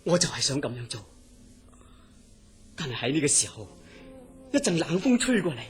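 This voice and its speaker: Actor as obsessed dreamer, dramatic, swooping Cantonese delivery. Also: male voice, fairly high pitch, very animated, moderate pace. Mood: obsessed, intense. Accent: Hong Kong Cantonese. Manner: earnest, dramatic storytelling. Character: troubled dreamer man, obsessed with a mysterious dream city. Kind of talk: Cantonese radio horror drama acting.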